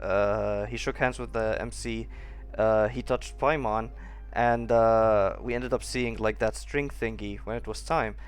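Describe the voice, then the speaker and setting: A man's voice: on the low side, steady tone, unhurried, smooth. A man giving casual, rambling playthrough commentary as a gaming streamer, close to the mic.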